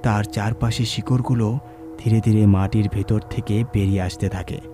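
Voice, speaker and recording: Mellow male horror storyteller over music. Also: lowish voice, fairly even intonation. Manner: measured, suspenseful storytelling. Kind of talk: scripted dramatic narration.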